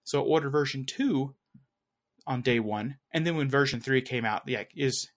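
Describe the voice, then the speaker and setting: A man's voice: mid-range voice, moderate pace. Guy just chatting like on a tech podcast, kinda rambly, keeps backtracking mid sentence. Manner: rambling, hesitant, self-correcting. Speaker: podcast co-host talking tech. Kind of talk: casual chat.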